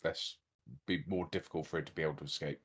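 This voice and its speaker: A man's voice: very deep voice, steady tone, quick pace, a bit bright, husky. A man playing a tabletop roleplaying game, casually talking through plans with the group.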